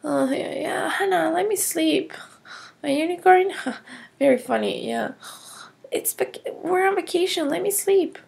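Woman doing a drowsy grumpy boy, slow groggy mumbling, toy play story. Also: very high-pitched, gravelly, a little mellow, exaggerated voice. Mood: drowsy, dismissive. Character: sleepy grumpy young boy.

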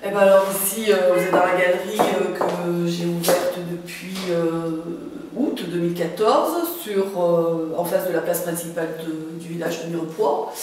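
A middle-aged woman from French Guiana giving an informal interview about her gallery, speaking hesitantly with long pauses as she searches for words. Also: on the low side, steady tone. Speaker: artist and gallery owner being interviewed. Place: indoor art gallery with hard floor. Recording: very echoey room, distant mic, faint noise.

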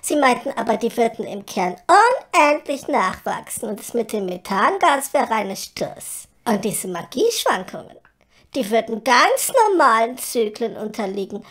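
A woman's voice: on the high side, animated, moderate pace, a bit bright. A woman playing a vain, flighty, chatty fairy in a comedy audio drama, with theatrical, airy delivery. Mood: chatty explaining. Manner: theatrical, exclaiming, airy, shifting to chatty explaining.

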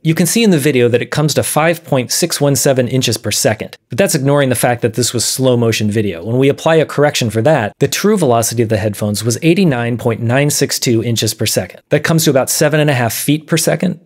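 A man in his thirties presenting an explanatory video lesson in a measured, methodical way. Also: mid-range voice. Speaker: presenter of an audio science series. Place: small dim room, indoors.

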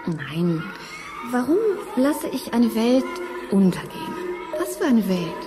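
A woman's voice: a little high, big pitch swings, takes it slowly. An actress playing a bewildered, wistful woman taken for a goddess, questioning hesitantly in a science fiction radio play. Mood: puzzled. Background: music.

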